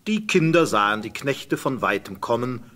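A man's voice: mid-range voice, very animated, moderate pace, a bit bright. A man narrating a scripted fairy tale as a storyteller.

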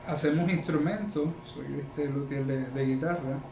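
A middle-aged man with a Puerto Rican accent, chatting haltingly as an interview guest. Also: medium pitch, steady tone, creaky, mellow. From Puerto Rico and the mainland United States. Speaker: interview guest, luthier and musician. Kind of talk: casual interview talk. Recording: echoey room, faint background noise.

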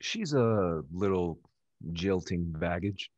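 An actor playing a vain, cynical young bachelor rake in a Restoration stage comedy, haughty and dry, over a video call. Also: male voice, deep voice, lively intonation, takes it slowly, darkish tone, no echo, muffled recording, heavily acted. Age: young adult.

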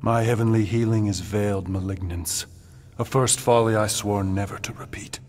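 An actor playing a solemn, aloof godlike cosmic being in a video game, speaking in pompous, archaic, alliterative phrases. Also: male voice, on the low side, steady tone, normal speed, a little mellow, in a large hall, slight background noise, close to an everyday voice.

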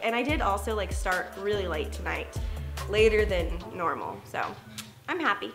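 A woman's voice: medium pitch, big pitch swings, normal speed, a bit bright. A young woman and mother talking casually to the camera at home, chatty and upbeat, often saying "like". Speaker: vlogger, mother. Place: home kitchen, indoors. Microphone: camera at table distance. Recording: echoey room with music behind.

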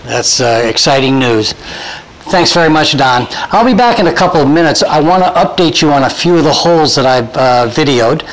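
Higher-voiced man hosting an informal update. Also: animated, moderate pace, rough recording. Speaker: host and interviewer. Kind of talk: informal video update, interviewing and narrating. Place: outdoors on a golf course under construction.

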